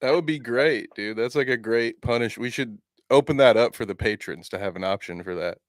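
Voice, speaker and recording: A man's voice: mid-range voice, brisk, no room sound. A man co-hosting a casual streaming podcast who makes dry, sarcastic jokes. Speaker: podcast co-host. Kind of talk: casual chat.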